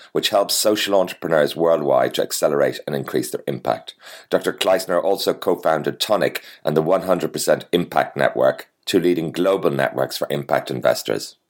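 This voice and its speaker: A man's voice: very deep voice, a little hiss or hum. A man hosting an interview podcast, reading introductions and putting questions to his guest.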